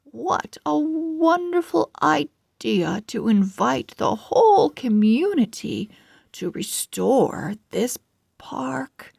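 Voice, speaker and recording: A woman reading the part of a kindly elderly woman in a storybook, reminiscing gently and deliberately. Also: high-pitched, very animated, gravelly, husky, no room sound, studio quality, pitched above the performer's own voice, full character voice. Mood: admiring. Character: kindly elderly woman reminiscing about her childhood park.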